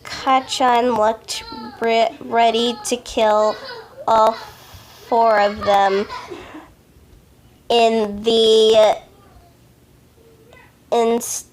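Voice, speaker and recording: A woman reading a story aloud as an amateur, haltingly, often stumbling over words and correcting herself. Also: on the high side, level tone, a little hiss or hum. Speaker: amateur fan fiction reader.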